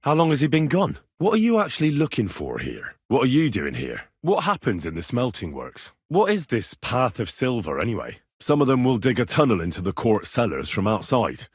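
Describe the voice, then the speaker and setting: Young medieval adventurer, slightly husky, animated intonation, wry, plucky, game dialogue, close dry sound. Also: male voice, mid-range voice, normal speed, few pauses, no echo. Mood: curious, questioning. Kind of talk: video game dialogue acting.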